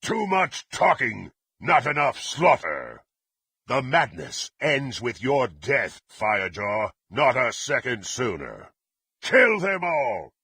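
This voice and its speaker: Gravelly, menacing brute warrior. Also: male voice, medium pitch, sing-song, slow pace, sharp tone, no echo, heavily acted. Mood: battle fury. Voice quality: gravelly, raspy. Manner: threatening, imperious, archaic formal diction. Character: brutal, menacing half-orc warrior serving a demonic patron. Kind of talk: fantasy video game character acting.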